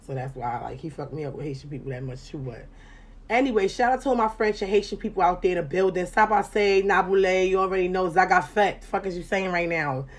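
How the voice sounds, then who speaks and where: A woman hosts a casual chat in a low-set voice. She is animated and profane, and her voice climbs loud and high when she gets excited. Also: a little fast. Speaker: podcast host.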